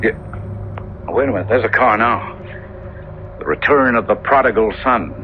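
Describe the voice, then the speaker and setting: A man playing a brisk, skeptical young minister's son in a 1970s radio drama, familiar and probing. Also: fairly low pitch, natural voice. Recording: dull old radio recording, faint noise.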